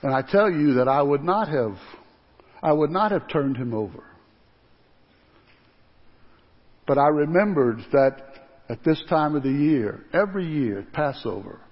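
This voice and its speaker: A man playing a guilt-ridden Roman governor in a dramatic first-person monologue, swinging between hushed and loud delivery. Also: fairly high pitch, breathy edge, heavily acted. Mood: solemn. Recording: dull lo-fi sound with long hall reverb.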